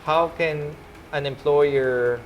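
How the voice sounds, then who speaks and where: A man in his thirties from the Philippines, a chef and restaurant owner, answering interview questions in a mix of English and Tagalog with an easygoing, halting manner. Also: a little high, fairly even intonation, highs cut off, slight background noise.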